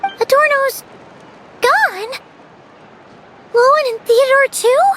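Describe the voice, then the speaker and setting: A woman voicing a tiny, blunt, nosy fairy companion in a video game, with a very high, childlike voice. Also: heavy background noise. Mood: eager. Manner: quick, nosy and pushy, interrupts with questions. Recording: game audio with music underneath.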